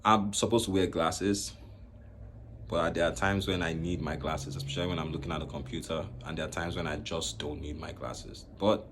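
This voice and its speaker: Deep-voiced man talking slowly and reflectively. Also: steady tone, noisy background. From Nigeria. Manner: slow, reflective, pausing often. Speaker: vlogger. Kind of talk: personal talk to camera.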